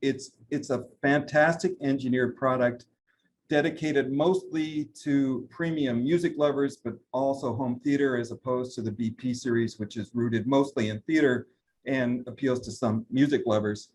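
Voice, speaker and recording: A man, a brand president, giving a trade interview and running through product lines with steady talk and frequent fillers. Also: medium pitch, normal speed, slight background noise, poor audio. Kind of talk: business interview, product rundown.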